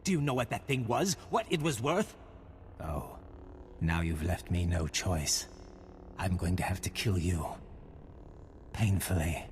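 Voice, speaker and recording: Deep-voiced menacing villain, echoing. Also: male voice, expressive, unhurried, pauses often, slight background noise. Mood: cold anger. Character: menacing vengeful villain, cold and threatening. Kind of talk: video game voice acting.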